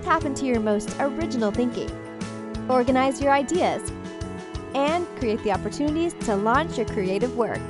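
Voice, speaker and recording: Female announcer, fairly high voice, scripted promo read over a clear music bed. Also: expressive, moderate pace.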